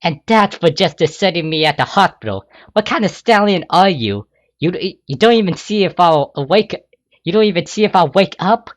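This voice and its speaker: A man reading a story aloud and voicing a brash, tomboyish young pegasus mare who yells when angry. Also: fairly high pitch, big pitch swings, no room sound, voice pushed up. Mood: furious. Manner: loud, indignant, quick to yell.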